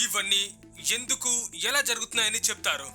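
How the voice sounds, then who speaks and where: A man's voice: high voice, lively intonation, fairly quick, bright, forceful tone, faint music behind, full character voice. A man playing an urgent television news anchor in a cartoon, announcing formally.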